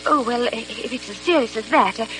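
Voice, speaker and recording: An actress playing an eager, easily flustered English secretary to a doctor in a vintage radio drama. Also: female voice, fairly high pitch, a little fast, fairly bright tone, lightly acted. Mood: flustered, polite. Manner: hesitant, flustered, polite. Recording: noisy old radio recording.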